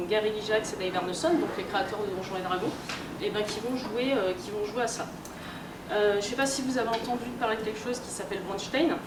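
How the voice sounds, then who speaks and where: Female lecturer, conversational and hesitant, mid-pitched, recorded at a distance with clear background noise. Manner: hesitant, filler-heavy, conversational delivery. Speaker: lecturer, games researcher and editor. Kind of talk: informal conference talk. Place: indoor convention talk room. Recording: roomy, noisy convention room, distant mic.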